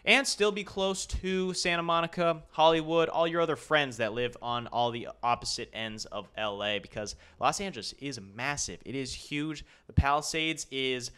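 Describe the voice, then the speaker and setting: A man's voice: on the high side, normal speed, few pauses, sharp tone, clean tone. A young man, a real estate agent, talking loosely through a map tour of a neighborhood with casual fillers and restarts.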